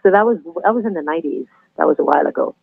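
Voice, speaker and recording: A middle-aged woman with a Trinidadian accent, chatting warmly and laughing easily as a guest on a podcast interview. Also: sits low, animated, rapid-fire, creaky, slightly rough, no echo. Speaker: guest, anthem singer. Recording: tinny phone line, dry.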